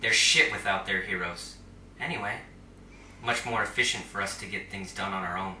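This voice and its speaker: A man playing a smug, theatrical superhero group leader in an audio drama, taunting with grand, drawn-out delivery. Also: low-pitched, pushed and bright, slight background noise. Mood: grandiose, taunting. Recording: roomy, slightly distant microphone.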